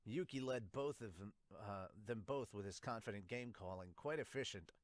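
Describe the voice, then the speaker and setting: A man's voice: lowish voice, normal speed, dry recording. A man hosting a casual manga reaction, reading aloud and interjecting informally. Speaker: podcast host and manga reviewer.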